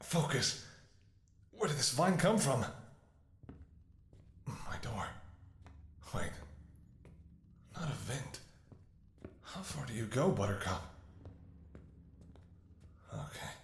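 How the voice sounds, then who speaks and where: A man's voice: medium pitch, slight background noise, exaggerated voice. A man playing a devoted, anxious doctor talking to a lover in an intimate ASMR audio roleplay, with a hesitant, emotionally raw delivery. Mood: wary, hushed.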